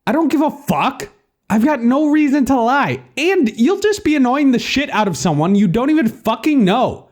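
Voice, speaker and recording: Man acting a brash, high-pitched sarcastic hero. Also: very animated, unhurried, darkish tone. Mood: annoyed, mocking. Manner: blunt, mocking, insistent. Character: brash, foul-mouthed, sarcastic narrator hero of a post-apocalyptic tale. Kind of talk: audiobook character dialogue.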